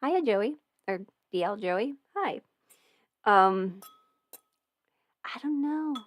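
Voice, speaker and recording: A woman's voice: medium pitch, animated, slow, no echo. Chatty young woman on a livestream. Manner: rambling, giggly, many fillers. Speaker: livestream host answering questions. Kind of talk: casual livestream Q&A. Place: home, eating ramen. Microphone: close microphone.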